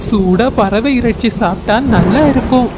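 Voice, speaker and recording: A woman voicing a sly younger male eagle in a cartoon, eager and scheming, recorded over loud background noise. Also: medium pitch, moderate pace, natural voice. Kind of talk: cartoon voice acting.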